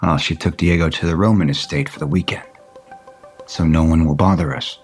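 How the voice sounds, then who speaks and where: An actor playing a wistful wealthy property developer in an audio soap opera, speaking tenderly and haltingly. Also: male voice, very deep voice, fairly even intonation. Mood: wistful, hesitant. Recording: music bed under the dialogue.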